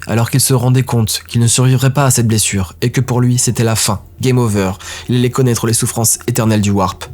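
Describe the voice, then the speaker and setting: A man narrating a dark fantasy audio drama in a steady, grave way. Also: mid-range voice.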